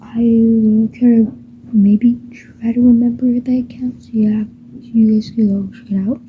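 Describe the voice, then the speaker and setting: A woman's voice: a little high, level tone, unhurried, slight background noise. A woman chatting casually and nervously in a half-whisper, rambling with fillers before a homemade audio drama. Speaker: fan audio creator. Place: home. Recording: dull muffled home recording.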